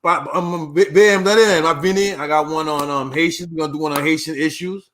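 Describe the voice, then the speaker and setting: A laid-back man hosts a loose online panel talk, tossing "man" onto nearly every phrase. His voice sits a little high for a man. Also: fairly even intonation, moderate pace, a bit bright. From United States. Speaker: show host. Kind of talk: casual panel chat.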